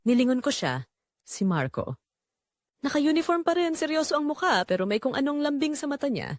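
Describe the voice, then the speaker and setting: A woman's voice: high-pitched, sing-song, brisk, no echo, studio quality. A woman narrating a scripted first-person romance story for an audio drama.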